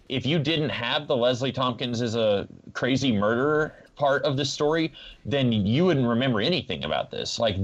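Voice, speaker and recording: A man's voice: mid-range voice, dull audio. A man giving his opinions in a casual podcast discussion as a co-host.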